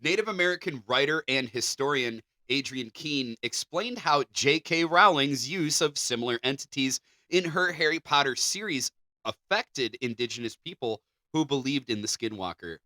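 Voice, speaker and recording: A man's voice: medium pitch, animated, normal speed, pushed and bright, dry recording. A man co-hosting a podcast, moving between reading scripted narration and informal chat. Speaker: podcast co-host.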